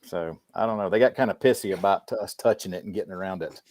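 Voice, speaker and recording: Male podcast panelist, low pitch, dry close sound, informal and a little salty in casual group talk. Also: quick pace, a little mellow, no room sound. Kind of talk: casual group chat on a livestream.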